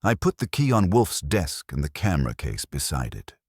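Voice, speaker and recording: Male narrator, bass-deep, wry, noir mystery storytelling, scripted, varied melody, dry close sound. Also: sing-song, normal speed, a little mellow, no room sound. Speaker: first-person story narrator.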